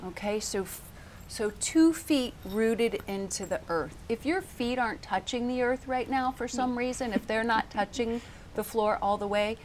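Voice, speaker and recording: A woman hosting and coaching in a conversational interview, speaking in a deliberate, encouraging, guiding way. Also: fairly high pitch. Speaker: podcast host and coach. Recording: clear background noise, ambience.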